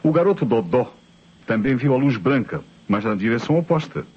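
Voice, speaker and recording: A Brazilian actor playing a methodical, skeptical police inspector in an old radio mystery, probing and reasoning aloud with authority. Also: male voice, medium pitch, animated, brisk, husky. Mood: skeptical, firm. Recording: muffled old radio recording.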